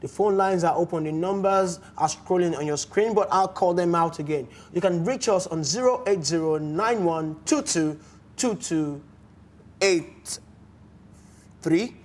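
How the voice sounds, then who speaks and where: A man with a Nigerian accent hosting a casual sports talk show in a studio, animated and often restarting his words. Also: sits high, a little slow. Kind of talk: casual studio chat with phone-in.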